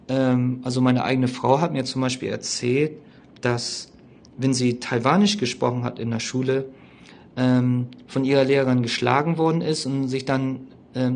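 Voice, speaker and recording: A man with a mid-pitched, gravelly voice explains history informally over light background noise. He talks colloquially and with clear opinions, stopping often to hesitate and rephrase.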